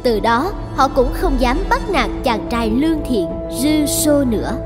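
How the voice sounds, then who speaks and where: Female narrator, storybook narration, high pitch, music behind. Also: animated, a little slow.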